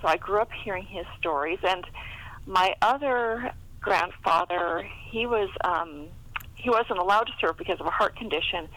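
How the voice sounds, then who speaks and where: A woman's voice: medium pitch, normal speed, fairly bright tone, rough recording. A middle-aged American woman chatting casually and telling anecdotes as an interview guest. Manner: chatty, laughs often. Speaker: interview guest, historical fiction author.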